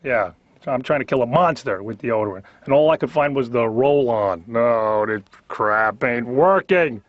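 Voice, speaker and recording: A man's voice: mid-range voice, lively intonation, unhurried, no echo, natural voice. A man playing a squeamish, exasperated husband venting in cartoon therapy, in a comic storytelling style with acted-out asides. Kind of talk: stand-up routine as cartoon dialogue. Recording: dull, lo-fi audio.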